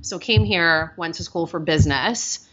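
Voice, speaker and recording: A woman's voice: on the low side, unhurried, pushed and bright, highs cut off. A woman from Ukraine whose first language is Russian, telling her story as a guest in a breezy, self-deprecating way with many "like"s. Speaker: guest, agency founder. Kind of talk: casual storytelling interview.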